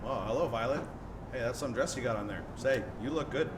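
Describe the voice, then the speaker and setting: A man's voice: medium pitch, a little fast, background noise, lightly acted. An actor playing an earnest, restless young small-town man in a 1940s radio play, with a hesitant, folksy, stammering delivery. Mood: jaunty. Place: live church stage performance.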